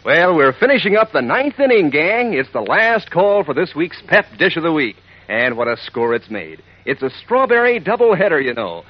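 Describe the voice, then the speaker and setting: Animated, husky 1940s radio pitchman. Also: moderate pace. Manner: folksy, chummy, enthusiastic. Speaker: announcer, pitchman. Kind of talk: peppy scripted 1940s radio ad. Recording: dull old radio recording.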